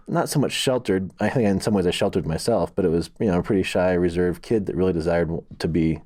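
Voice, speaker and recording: A man in his thirties hosting a casual counseling podcast, animated and quick to laugh, often jumping up in pitch. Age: 30s.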